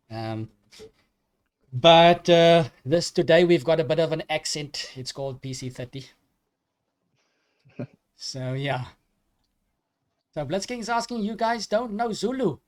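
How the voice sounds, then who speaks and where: A man from Africa, co-commentating a live online chess match, hesitant with many pauses, reading questions from the chat. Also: fairly high pitch. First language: Afrikaans. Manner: hesitant, many ums, reads chat aloud.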